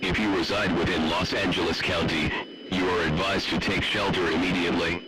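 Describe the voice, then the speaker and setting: Loud noise covers the broadcast. A man acts out a stern male emergency announcer with a deep voice, giving formal, commanding warnings in the manner of a radio drama. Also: fairly even intonation, brisk, close to an everyday voice. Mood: stern, official.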